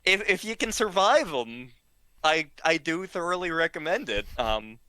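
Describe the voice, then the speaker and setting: A man with a bright, slightly high voice tells funny stories in a casual, rambling way. His delivery is halting and giggly, unhurried overall and lively in pitch. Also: smooth, no room sound, poor audio. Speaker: storyteller, regular of the show. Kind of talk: casual humorous anecdote telling.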